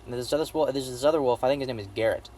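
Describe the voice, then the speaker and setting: A man's voice: medium pitch, a little mellow, a little hiss or hum. Rambling man giving an offhand movie review. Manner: halting, repeats phrases emphatically, profane.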